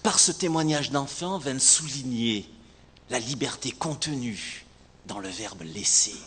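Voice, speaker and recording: A man preaching a sermon as a pastor, emphatic and rhetorical, with deliberate repetition and pauses. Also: medium pitch, lively intonation, a bit bright. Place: large reverberant hall, speaking to a congregation. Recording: echoey hall, long reverb, some background noise.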